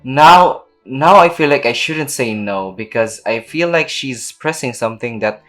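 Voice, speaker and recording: A woman's voice: very deep voice, expressive, unhurried, fairly bright tone. A woman streaming a game, reacting in casual slangy commentary and reading dialogue aloud. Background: faint game music.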